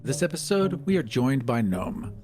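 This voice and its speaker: A man's voice: mid-range voice, very animated, normal speed, over music. A man co-hosting a podcast, presenting and chatting. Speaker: podcast co-host, writer and producer.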